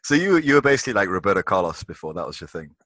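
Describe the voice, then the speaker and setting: A man hosting a podcast, chatting casually with his guest and chiming in with quick friendly remarks. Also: deep voice, very animated, a bit bright, no room sound, clean studio sound. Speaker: podcast host. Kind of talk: casual interview chat.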